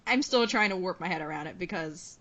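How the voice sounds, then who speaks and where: A woman co-hosting a fan podcast, eager and expressive. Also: on the low side, quick pace, highs cut off. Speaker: podcast co-host. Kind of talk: casual fan chat.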